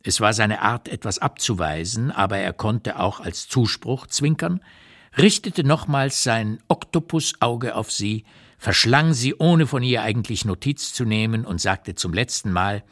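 An actor playing an elderly émigré writer who looks back candidly, reading his memoir in a deliberate, emphatic literary radio play narration. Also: on the low side, a bit bright. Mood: reflective, intent.

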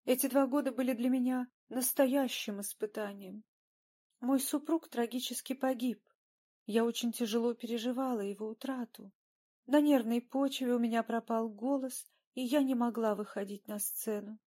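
A woman's voice: a little high, steady tone, moderate pace, warm tone, close to an everyday voice. A woman voicing a vain, coquettish opera diva in an audiobook, with a chirping, dramatic, affected delivery. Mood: tearful, self-pitying. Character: vain, coquettish, theatrical female opera diva, gushing and self-pitying. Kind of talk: audiobook character voice.

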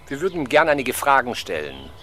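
Over clear background noise, a police inspector in a radio crime drama. He is dry, wry and commanding, with a mid-range voice and very animated intonation. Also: medium pitch, fairly quick. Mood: polite, businesslike. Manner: dry, wry, clipped orders. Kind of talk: 1970s German radio crime drama acting. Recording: noisy radio drama scene, street ambience.

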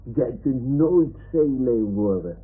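Toy sea lion, low and swooping, dragging drawl, proud, drowsy, radio play, tinny recording. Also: male voice, expressive, takes it slowly, husky. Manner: ponderous, drawling, self-important.

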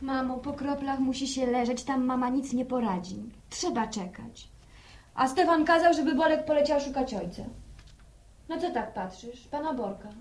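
Actress as high-voiced, firm village daughter. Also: female voice, normal speed, darkish tone, close to an everyday voice. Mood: brisk, impatient. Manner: firm, admonishing, practical. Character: practical, firm adult daughter in a village household. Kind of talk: radio drama dialogue.

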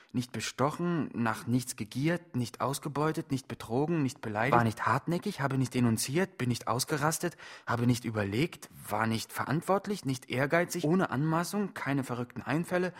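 An actor playing an accused man in a radio play, insistently and rhetorically pleading his total innocence. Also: a little high. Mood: insistent denial. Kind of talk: theatrical radio play monologue, litany-like.

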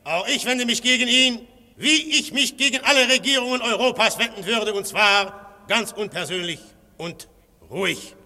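A cold, fanatical anarchist agitator in a radio play. His very forceful, high voice delivers scornful, commanding rhetoric, echoing through a reverberant hall. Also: moderate pace, full character voice. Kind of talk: German radio play acting. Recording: echoey hall with long reverb.

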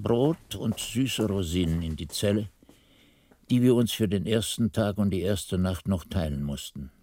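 A man playing a devout, learned monk who recalls his novice days in measured, reverent radio play narration. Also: lowish voice, mellow, no echo. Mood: calm, confiding. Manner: measured, reverent, ornate phrasing. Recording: music under the voice.

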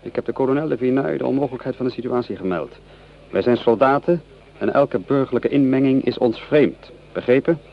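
A man acting a proud, gruff veteran lieutenant in a radio drama, older, commanding and indignant. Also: medium pitch, fairly quick, lightly acted. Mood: stern. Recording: muffled old radio play recording.

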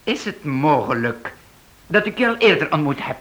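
An actor playing a courteous, quietly suspicious male house guest in a Dutch radio play. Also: on the high side, big pitch swings, normal speed, rough recording. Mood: courteous, probing.

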